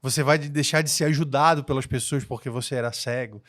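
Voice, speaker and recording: A man's voice: medium pitch, steady tone, quick pace, a bit bright. A man with a Brazilian accent hosting a podcast in a reflective conversational style, sometimes searching for his words. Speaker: podcast host.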